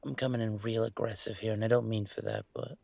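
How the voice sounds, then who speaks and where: A man playing an upset young werewolf venting about his father in an intimate audio roleplay, speaking in a halting, emotional, rambling way. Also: on the low side, steady tone, vocal fry, a little mellow, no echo. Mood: hurt, bitter.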